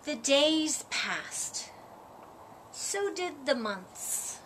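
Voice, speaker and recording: A woman reading a children's story aloud, with expressive, deliberate phrasing and dramatic emphasis. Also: high voice. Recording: audible background noise.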